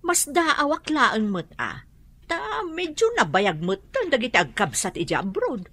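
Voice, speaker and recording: A woman's voice: a little high, wildly swooping pitch, measured pace, fairly bright tone, breathy edge, heavily acted. An actress playing a talkative, gossipy neighbor woman in a radio drama.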